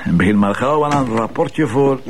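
An actor with a Flemish accent playing a wry, grumbling middle-aged police commissioner, dry and sardonic. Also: male voice, medium pitch, normal speed, noisy background, natural voice. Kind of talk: TV drama acting.

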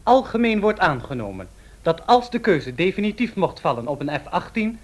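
A 1930s newsman with a high voice. He declaims press headlines and reports in a formal, announcing manner. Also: sing-song, normal speed. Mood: declamatory. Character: brisk 1930s male newspaper reporter reading headlines.

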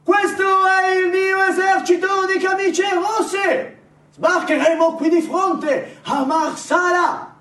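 A man with a Sicilian accent declaiming a theatrical monologue loudly and emphatically, as a solo stage storyteller. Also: extremely high pitch, steady tone, slow. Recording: roomy echoing stage, distant microphone.

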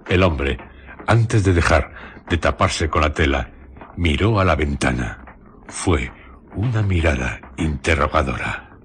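A man with an accent from Spain narrating a radio drama in a measured, literary manner. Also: very deep voice, lively intonation, fairly bright tone, breathy edge.

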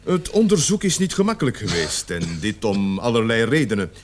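A man acting in a Dutch radio play as a gruff, authoritative police sergeant with deliberate, emphatic delivery. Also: medium pitch, wildly swooping pitch, slight background noise. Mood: formal, emphatic. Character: gruff, authoritative male police sergeant leading a murder investigation. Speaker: radio drama actor.

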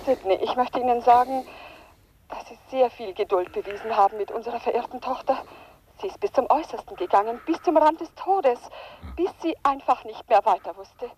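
Actress as a high-voiced, grieving mother. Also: brisk, darkish tone, a little hiss or hum, lightly acted, poor audio. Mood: grave, sorrowful. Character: formal, grieving mother addressing her son-in-law. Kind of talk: crime radio play acting.

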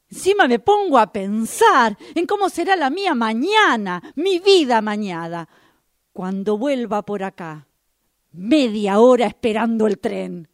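A woman playing a restless commuter in a radio drama monologue, musing dramatically about strangers while she waits. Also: a little high. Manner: dramatic, deliberate, repetitive musing. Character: restless woman waiting for a train, pondering strangers' lives.

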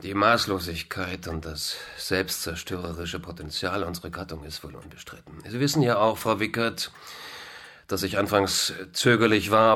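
An actor playing a resigned, pessimistic philosopher in a radio drama interview, lecturing in a ponderous intellectual manner. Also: male voice, lowish voice, fairly bright tone. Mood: resigned, grave. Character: gloomy, resigned male philosopher and culture critic.

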